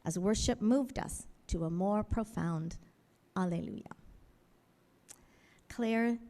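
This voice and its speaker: A woman with a mellow, warm voice makes a formal announcement in a large sanctuary, reading at an even, measured pace. The sound rings with a long hall reverb. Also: mid-range voice. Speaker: church speaker dedicating a piano. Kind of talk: formal announcement in a worship service.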